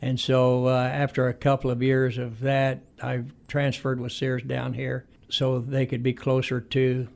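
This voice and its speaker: Creaky-voiced man in his eighties. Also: medium pitch, monotone, unhurried, few pauses, a little mellow. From Indiana, United States. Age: 80s. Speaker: interview guest. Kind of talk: conversational reminiscing interview answers.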